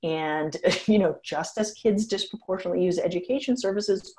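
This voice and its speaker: A woman's voice: lowish voice, normal speed, a little hiss or hum. A woman in her fifties, a geriatrician and author, answering interview questions frankly and with energy, laughing now and then as she talks. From United States. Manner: frank, animated, laughs while talking.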